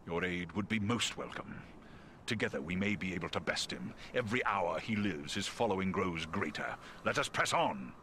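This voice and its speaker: Deep, solemn eagle scout. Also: male voice, animated, moderate pace, bright, forceful tone, slight background noise, full character voice. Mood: urgent, resolute. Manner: formal, stately diction. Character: noble male giant eagle scout, earnest and solemn. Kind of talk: scripted fantasy game dialogue.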